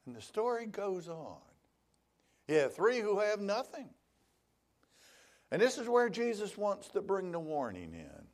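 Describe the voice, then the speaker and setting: A man's voice: sits high, huge pitch swings, measured pace, pauses often. A male pastor preaching a sermon, telling stories and voicing the people he quotes.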